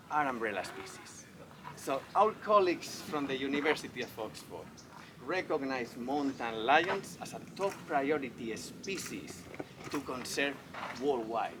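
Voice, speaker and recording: A man with a Spanish accent gives a public lecture in a friendly, eager way, now and then asking his listeners questions. His voice sits at an ordinary middle pitch. Clear background noise from the outdoor festival runs under his words. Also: animated, takes it slowly. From Spain. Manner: enthusiastic, friendly, asks the audience questions. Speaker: wildlife veterinarian giving a talk. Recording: noisy outdoor festival recording.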